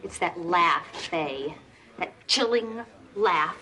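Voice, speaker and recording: A woman's voice: fairly low pitch, animated, slow pace, bright, forceful tone, exaggerated voice, poor audio. An actress playing a proud, sardonic sitcom woman with a Southern US drawl and folksy sarcasm. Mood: exasperated. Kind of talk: multi-camera sitcom acting.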